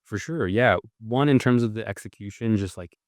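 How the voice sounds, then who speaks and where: Low-voiced man, thoughtful guest, dry sound. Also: normal speed, darkish tone, no room sound. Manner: thoughtful, with many filler "like"s and restarts. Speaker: guest, writer and journalist. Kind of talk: conversational interview answers.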